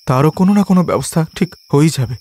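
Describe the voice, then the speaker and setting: A man's voice: on the high side, expressive, fast, dark tone, no room sound. A man voicing a humble, caring and distressed poor father in a cartoon moral story. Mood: reassuring. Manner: plaintive, earnest, repeats phrases.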